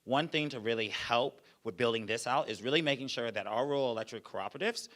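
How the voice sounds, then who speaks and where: A man's voice: mid-range voice, quick pace, fairly bright tone. A young man running for Congress, answering audience questions at a forum in a loose way, with many fillers and restarts. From Georgia. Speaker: congressional candidate.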